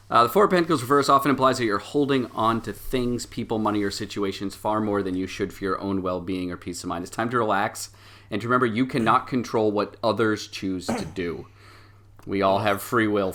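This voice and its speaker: Low-voiced man running a story game. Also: moderate pace, a bit bright. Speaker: game master of tabletop role-playing show. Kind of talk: narrating a story game and reading card meanings aloud.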